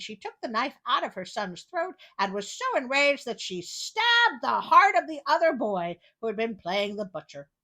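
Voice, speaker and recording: A woman reading fairy tales as a storytelling host, expressive, with wry and incredulous asides. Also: mid-range voice.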